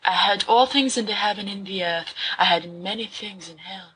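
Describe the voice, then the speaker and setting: A woman voicing a young male wizard student who reads a horror story aloud to his friends, slow and hushed at times. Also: mid-range voice, fairly even intonation, close to an everyday voice, rough recording. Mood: dramatic. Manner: slow, hushed dramatic reading. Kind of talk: audiobook fan fiction reading.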